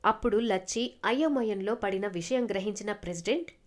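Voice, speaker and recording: A woman's voice: mid-range voice, a little fast. A woman narrating a scripted moral story as its storyteller.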